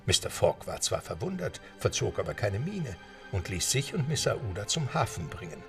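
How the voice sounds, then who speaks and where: Low, creaky male storyteller over music. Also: sing-song, normal speed, far from the mic. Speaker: narrator. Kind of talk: scripted storytelling narration for audio drama.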